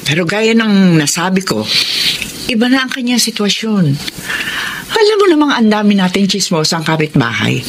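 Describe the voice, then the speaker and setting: A woman's voice: mid-range voice, sing-song, normal speed. An actress playing a wary middle-aged mother who admonishes expressively, in a Tagalog radio drama style. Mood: wary, admonishing. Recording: clearly noisy radio drama recording.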